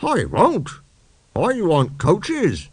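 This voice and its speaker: A man as old male steam engine, proud, indignant, grumbling, very animated storybook delivery, dry sound. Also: high-pitched, very slow, breathy edge, no echo, voice pushed up, full character voice. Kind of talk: children's audiobook storytelling.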